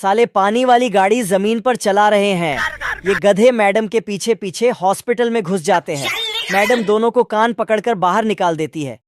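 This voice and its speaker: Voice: mid-range voice, normal speed. Slangy comic cartoon narrator, noisy recording. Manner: crude, slangy storytelling.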